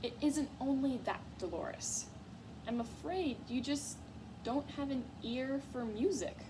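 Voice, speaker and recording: A woman's voice: high voice, slow, pitched above the performer's own voice. Woman acting a hesitant, apologetic storybook maestro mouse. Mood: uneasy. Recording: clear background noise.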